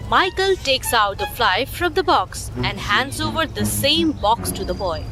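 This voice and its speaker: A woman narrating a scripted animated story for children. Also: on the high side, a little slow, music in the background.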